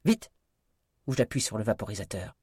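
A man's voice: on the low side, lively intonation, moderate pace, warm tone, husky, no room sound, studio quality. A man reading an audiobook, voicing a desperate, defiant male villain with a brutal, commanding, hot-tempered manner. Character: desperate, defiant man threatening with a deadly virus spray.

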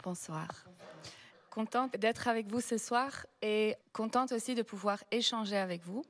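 A woman who is not a native French speaker, a researcher speaking as a panel guest, explaining at a measured pace with frequent hesitations. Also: a little high. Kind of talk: public panel talk. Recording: long hall reverb.

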